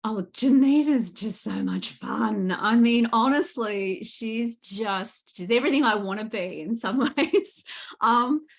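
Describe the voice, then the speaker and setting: A woman chatting casually as a guest author, thoughtful and animated in how she explains things. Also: a little high, a little slow, vocal fry. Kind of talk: casual book interview chat.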